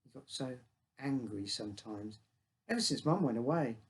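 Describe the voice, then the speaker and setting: A man's voice: medium pitch, animated, normal speed. A man with a British accent reading his own scripted short story, narrating in the first person as a child.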